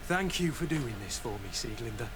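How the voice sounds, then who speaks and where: An actor playing a loyal mercenary soldier bent on justice in a video game, grave and plain-spoken. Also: male voice, medium pitch, animated, normal speed, noisy background, lightly acted. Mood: sober.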